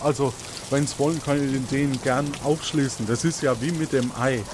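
A man's voice: mid-range voice, fairly even intonation, normal speed, noisy background, natural voice. Man putting on a folksy Bavarian groundsman. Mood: friendly, helpful. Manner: folksy, informal.

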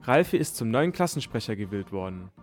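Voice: medium pitch, very animated, brisk. A radio presenter reading scripted narration in German for a report. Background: music.

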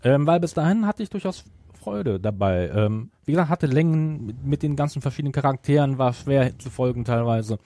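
A man's voice: mid-range voice, animated, fairly quick, mellow, dry recording. A man co-hosting a podcast, chatting casually as he gives a review. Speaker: podcast co-host.

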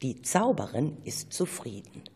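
Woman narrator, low husky voice, very animated delivery, scripted children's radio play, long reverb. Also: unhurried. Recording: reverberant hall-like sound.

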